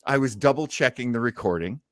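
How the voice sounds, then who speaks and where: A man's voice: mid-range voice, brisk, no echo. A man chatting casually as co-host of a show, rambling and jokey as he introduces things. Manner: animated, rambling, jokey, acts out reactions. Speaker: podcast co-host introducing old radio shows. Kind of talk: casual chat.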